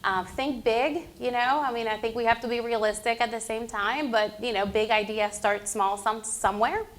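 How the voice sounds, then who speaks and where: A woman's voice: a little high, fairly even intonation, moderate pace. Middle-aged woman facilitating a meeting. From Puerto Rico. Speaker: meeting facilitator, municipal association field service manager. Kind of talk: informal introductory remarks to a council meeting.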